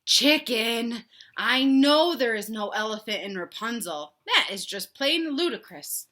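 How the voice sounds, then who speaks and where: A woman's voice: on the high side, lively intonation, measured pace, bright, forceful tone, slight background noise. A woman voicing a weary, exasperated but patient father chicken in a children's picture book read-aloud, speaking emphatically.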